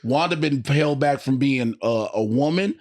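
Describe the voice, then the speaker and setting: A man co-hosting a podcast in casual, joking banter, slangy and full of animated exclamations. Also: mid-range voice, normal speed. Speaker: podcast co-host.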